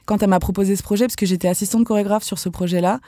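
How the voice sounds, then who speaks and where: A young woman from France, a professional dancer, speaking candidly as a podcast guest, casual and animated, with lots of fillers and slang. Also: medium pitch, rapid-fire, dry recording, studio quality. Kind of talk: podcast interview.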